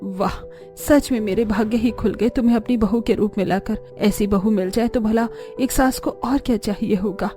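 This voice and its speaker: A woman's voice: medium pitch, normal speed, mellow, soft background music, lightly acted. A woman playing a doting, homely village mother-in-law in a cartoon story, affectionate and full of praise. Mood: delighted, welcoming. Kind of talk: cartoon story dialogue acting.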